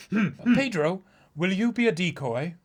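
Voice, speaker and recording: Skeleton pirate played by a man, high and slightly husky, earnest and eager, manga dramatic reading. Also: big pitch swings, a little slow, heavily acted. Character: eager, high-voiced adult skeleton musician pirate planning a daring mission.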